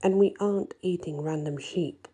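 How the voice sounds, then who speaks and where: A woman's voice: fairly low pitch, moderate pace, mellow, husky. A woman voicing a bold, stubborn young female werewolf heroine in a fantasy story, with firm, wry delivery.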